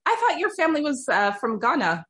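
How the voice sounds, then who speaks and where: A woman hosting a casual interview, lively and quick to interject with questions. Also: high voice. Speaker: podcast host.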